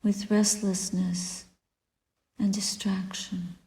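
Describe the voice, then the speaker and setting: A woman guiding a meditation as a Buddhist teacher, speaking softly and deliberately in short phrases with long pauses and breathy endings. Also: mid-range voice, level tone. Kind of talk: guided meditation, spoken dharma reflection. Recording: echoey room with long reverb.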